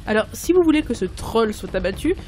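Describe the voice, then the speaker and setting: A woman playing a sardonic, flustered editor trapped as a fantasy princess, halting, blunt and exasperated, in an audio drama. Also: a little high, big pitch swings, darkish tone, slight background noise. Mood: sardonic, determined.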